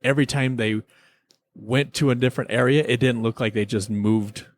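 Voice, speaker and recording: A man's voice: medium pitch, steady tone, normal speed. A man co-hosting a casual review chat, talking as an enthusiastic fan. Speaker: podcast co-host reviewing TV episodes.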